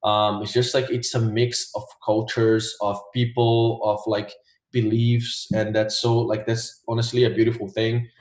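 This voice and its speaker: Young brazilian guy on a video call, kinda low voice, lots of ums, roomy echo. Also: a little slow. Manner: relaxed, hesitant, many fillers. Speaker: guest, former test taker. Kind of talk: casual interview answers.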